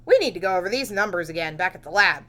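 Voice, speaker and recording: Storybook medical expert, read by a woman: emphatic, earnest, bright, projected delivery. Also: fairly low pitch, animated, fast. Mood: brisk, official.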